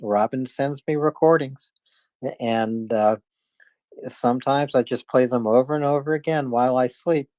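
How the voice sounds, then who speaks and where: A man hosting a live online discussion, speaking casually in a halting, hesitant way. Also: mid-range voice, darkish tone, no echo. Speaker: host of a live audio discussion space. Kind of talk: casual announcing, chatting with guests. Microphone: live online audio chat.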